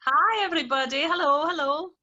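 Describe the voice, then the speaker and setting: A Scottish woman chats as an interview guest, quick to laugh softly at herself. Her voice is bright and forceful. The audio comes through dull and muffled. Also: high-pitched. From Scotland. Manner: soft laughs, easygoing and self-deprecating. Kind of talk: casual interview chat.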